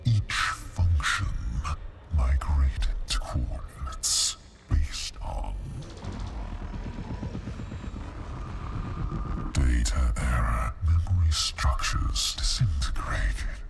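An actor playing a menacing, broken artificial intelligence in a video game, with a gravelly machine-processed voice and halting, cold, clipped delivery. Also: male voice, animated, over loud music, full character voice. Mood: cold, malfunctioning. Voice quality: gravelly, synthetic. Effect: deep machine voice processing. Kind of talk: video game dramatic acting.

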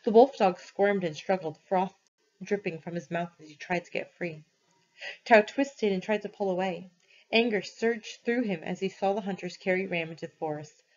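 A woman's voice: medium pitch, normal speed, lo-fi sound. A woman reading a story aloud expressively, as a teacher does for students.

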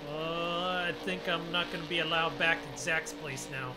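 A man's voice: fairly high pitch, fairly even intonation, moderate pace, loud music behind the voice, low-quality recording. A man giving casual, folksy role-play commentary as host of a farming game video, often exclaiming as he goes. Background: game vehicle and ambience sounds.

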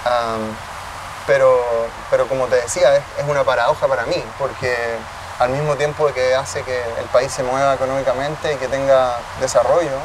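A man's voice: medium pitch, little pitch movement, normal speed. Thoughtful Chilean man outdoors. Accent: Chilean Spanish. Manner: thoughtful, restarts phrases often. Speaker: guest, tech cofounder. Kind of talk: reflective interview answers. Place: outdoor park under a tree, city. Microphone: distant camera mic. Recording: distant mic, city traffic noise.

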